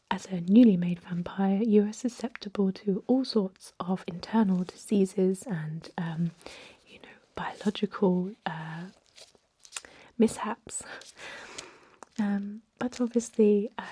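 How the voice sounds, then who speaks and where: Woman playing ancient female vampire medic, ASMR roleplay, husky breathy whisper, slow pace, calm, gentle, reassuring. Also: mid-range voice. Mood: calm, explaining. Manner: gentle, unhurried, hesitant with soft explanations.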